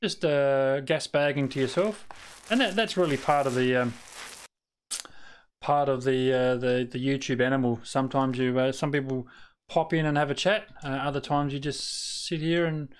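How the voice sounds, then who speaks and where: A man's voice: medium pitch, fairly even intonation, normal speed, smooth. An Australian man chatting casually to his live-stream viewers while he plays, rambling with lots of fillers and restarts and muttering at the game.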